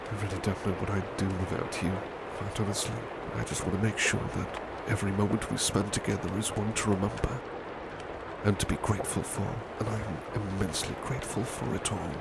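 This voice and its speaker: A man playing a huge, gentle, devoted butler in an ASMR roleplay, speaking in a halting, formal, softly reassuring way. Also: lowish voice, steady tone, loud music behind the voice, natural voice. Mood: tender, loving. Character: huge, gentle, devoted male butler, fanged, red-eyed. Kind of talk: ASMR roleplay, soothing personal attention.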